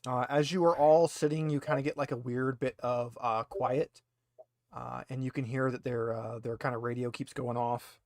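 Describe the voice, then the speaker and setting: A man serves as game master for a tabletop game. He feels his way through the narration in a conversational, hesitant manner, with a mid-pitched voice in a very dry recording. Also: fairly even intonation, normal speed, darkish tone, dry recording.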